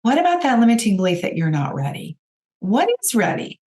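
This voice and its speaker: A woman with a raspy voice, hoarse from a cold, hosting a podcast and giving direct, encouraging coaching talk to her listeners. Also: mid-range voice, big pitch swings, a little fast, dark tone. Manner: encouraging, direct, addresses listeners as you guys. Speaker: podcast host and business coach.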